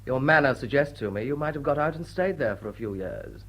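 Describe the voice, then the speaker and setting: An actor playing a dashing, sardonic young lord in period drama, breezy, teasing and probing. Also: male voice, mid-range voice, expressive, fairly quick, a bit bright. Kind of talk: period stage comedy radio drama.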